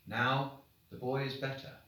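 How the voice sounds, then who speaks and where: A man's voice: medium pitch, level tone, measured pace, pushed and bright. Man reading aloud in a very echoey room. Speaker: headmaster reading pupils' stories. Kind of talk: expressive storybook reading to children. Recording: very echoey room, distant microphone.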